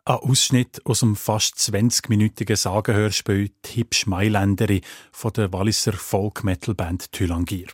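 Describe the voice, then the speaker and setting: A man's voice: lowish voice, darkish tone, no echo, clean studio sound. A man with a Swiss German accent reading scripted narration as a radio reporter.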